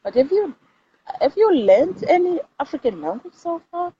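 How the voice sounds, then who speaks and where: A muffled, low-fidelity recording with noticeable background noise carries a woman with a Zimbabwean accent. She hosts an informal motivational talk, addressing viewers directly and emphatically. She often repeats a phrase to drive it home. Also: sing-song, normal speed, dark tone. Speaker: host of live videos. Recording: dull lo-fi audio with clear background noise.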